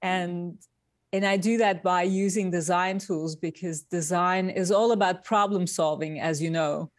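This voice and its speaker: A woman from Turkey, a guest designer, speaking in a thoughtful, unhurried way in a casual interview over a remote call. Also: lowish voice, steady tone, no echo, clean studio sound.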